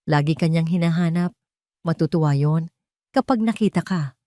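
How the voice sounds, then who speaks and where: A woman's voice: deep voice, normal speed, mellow, no echo, close to an everyday voice. A woman narrator playing a sweet, affectionate wife with tender speech in an audio story. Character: sweet, affectionate wife greeting her husband.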